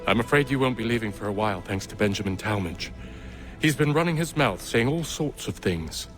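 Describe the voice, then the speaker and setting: An actor playing a cold, scheming gentleman henchman in a video game, speaking in a sly, condescending way. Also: on the low side, animated, brisk, faint music behind, close to an everyday voice. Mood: smooth menace. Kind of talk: video game cutscene acting.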